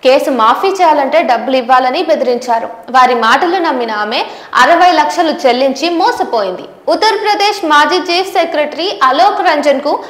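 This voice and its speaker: A woman's voice: high-pitched, animated, moderate pace, a bit bright. A woman journalist, presenting a scripted news explainer directly to viewers. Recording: roomy, echoing voice recording.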